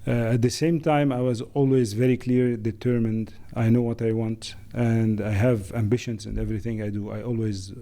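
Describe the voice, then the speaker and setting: Very dark-voiced man from Lebanon. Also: medium pitch, little pitch movement. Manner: thoughtful, halting, many fillers. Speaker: guest, managing director. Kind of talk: conversational interview answers.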